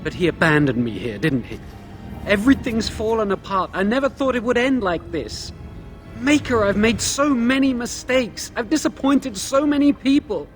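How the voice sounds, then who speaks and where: An actor playing an anxious, guilt-ridden young mage prisoner in a fantasy video game, earnest and pleading. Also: male voice, high-pitched, expressive, normal speed, soft background music. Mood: despairing remorse. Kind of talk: dramatic fantasy game dialogue.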